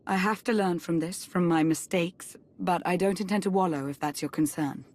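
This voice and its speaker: A woman acting a proud, dutiful Sith spymaster in a video game cutscene. Her voice sits fairly low for a woman, and she speaks in a measured, formal, earnest way, composed even when she blames herself. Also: lightly acted. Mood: regretful resolve. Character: composed, dutiful Sith intelligence chief, proud but self-reproachful woman.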